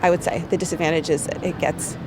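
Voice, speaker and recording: An American woman who manages corporate marketing, talking in an unscripted interview with a loud voice, many fillers and quick restarts. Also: low voice, steady tone, noisy background. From United States.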